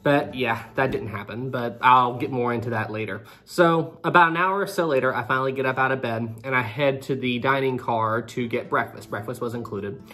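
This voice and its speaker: A young man in his twenties recounts a trip straight to camera in a lively, conversational way, talking to his viewers directly. He sits in a small room with a desk webcam in front of him. Soft guitar music plays behind him. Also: mid-range voice, fairly quick, hardly any pauses. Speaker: travel vlogger. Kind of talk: storytelling to camera.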